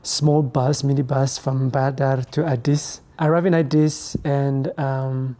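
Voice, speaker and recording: A young man, his tone soft and mellow. He talks reflectively and haltingly, pausing to search for words as an interview guest. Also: medium pitch, level tone. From Ethiopia, raised in France. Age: 20s. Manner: reflective, hesitant, halting phrasing. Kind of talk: conversational interview.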